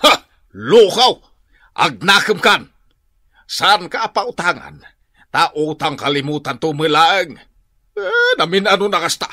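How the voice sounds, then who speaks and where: A man's voice: a little high, huge pitch swings, unhurried, long pauses, sharp tone, exaggerated voice. An actor playing a stern, scolding elderly father-in-law in a radio drama, with an Ilocano accent. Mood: indignant scolding. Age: older. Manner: scolding, exasperated.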